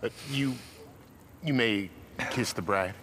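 An actor playing an awkward, hesitant minister in a video game cutscene, stammering gently. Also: male voice, on the low side, slow pace, noisy background. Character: awkward, hesitant wedding minister, adult man.

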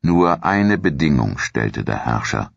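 A man reading scripted history narration as a documentary narrator in a measured, formal, authoritative manner. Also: a bass voice, sing-song, vocal fry, dry recording, lo-fi sound.

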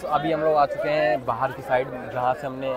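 A man's voice: medium pitch, level tone, a little fast. Young male vlogger, casual chat to camera, very noisy market crowd around him. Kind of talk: casual vlog chat to viewers. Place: crowded outdoor street market. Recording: loud outdoor market crowd noise. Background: bustling crowd and street sounds.

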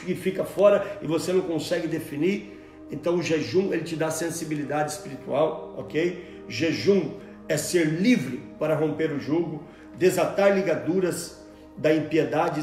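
A man in his forties preaching a sermon from a table at home, animated and repeating words as he searches for them. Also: on the high side, roomy sound. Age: 40s. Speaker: pastor. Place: room at home, at a table with Bibles.

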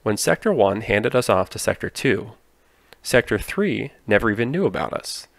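A man narrates an explainer in an instructive way. His voice sits low with a gravelly edge, recorded close and dry. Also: very animated, normal speed, no room sound. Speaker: narrator explaining air traffic control.

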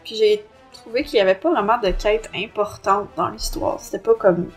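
A woman's voice: mid-range voice, normal speed, breathy edge, faint music behind. A woman with a Québécois French accent co-hosting a podcast and giving a casual book review.

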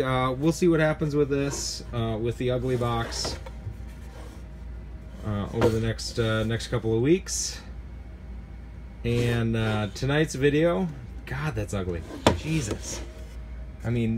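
A man's voice: mid-range voice, music in the background. Rambling, self-mocking man in his workshop. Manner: rambling, halting, with many fillers and restarts. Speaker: hobby woodworker. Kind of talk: casual self-deprecating workshop vlog. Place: small cluttered home workshop indoors. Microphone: phone camera held close.

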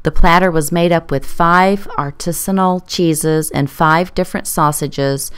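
A woman's voice: deep voice, fairly even intonation, a little slow, clear, resonant tone, no echo. A woman reading scripted promotional narration.

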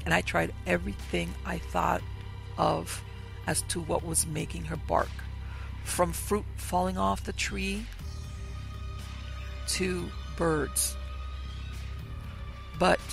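A man narrates ghost stories at a measured pace, his voice thick with gravelly fry and sitting slightly above a typical male pitch. Quiet music plays softly underneath. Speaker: podcast host reading listener stories.

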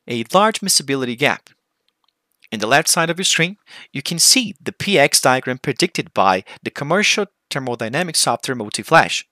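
Bright-voiced man presenting research. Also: mid-range voice, dry recording, studio quality. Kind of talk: scripted conference presentation.

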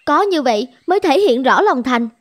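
A woman's voice: high-pitched, animated, normal speed. A woman voicing a dissatisfied, nagging young wife in a cartoon drama, with a reproachful way of talking. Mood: exasperated, scolding. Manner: complaining, reproachful.

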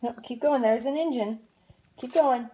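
A woman speaking from behind a phone camera at home, briskly coaxing and encouraging a child as he shows a book. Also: on the high side, lo-fi sound, a little hiss or hum. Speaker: parent filming a child's book review. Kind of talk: off-camera prompting.

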